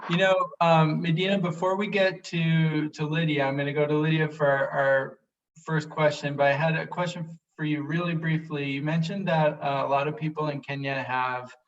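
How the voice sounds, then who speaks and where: Man, host, upbeat, video call, sits back from the mic. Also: on the high side. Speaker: livestream host. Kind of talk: moderating a livestream. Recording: distant, muffled video call audio.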